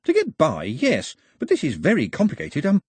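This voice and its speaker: Guy doing a chatty little island dude, high voice, stumbles over his words, kinda husky. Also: wildly swooping pitch, darkish tone, no room sound, clean studio sound, exaggerated voice. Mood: eager. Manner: talkative, stammering, rushing. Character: small chatty young islander man, eager.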